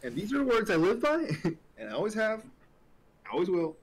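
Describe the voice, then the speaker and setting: A man with a fairly high, husky voice banters casually. He is jokey and mock-emphatic, and his pitch moves around a lot. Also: big pitch swings, a little fast.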